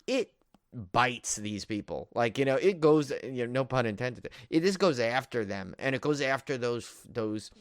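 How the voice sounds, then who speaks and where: A man's voice: mid-range voice, animated, normal speed, smooth, no echo, clean studio sound. Rambling, enthusiastic man chatting about film. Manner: rambling, repeats himself, piles up "like" and "you know". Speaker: podcast co-host. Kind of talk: casual film review chat.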